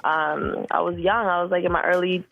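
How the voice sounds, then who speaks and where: A woman in her thirties, from Costa Rica, talking casually as a music guest, relaxed, with many fillers and repeated words. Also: on the low side, moderate pace, dull audio. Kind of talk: casual interview chat.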